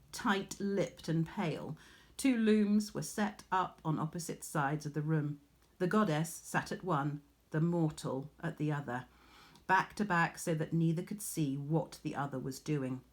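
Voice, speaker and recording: A woman with an English accent reading a story expressively to children, as a teacher would. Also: low-pitched.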